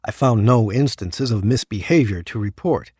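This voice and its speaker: A man's voice: lowish voice, moderate pace, a little mellow, no room sound, studio quality. An actor playing an earnest, guarded dead man in Hell, in audio drama dialogue and first-person narration. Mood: grim storytelling. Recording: clear background noise.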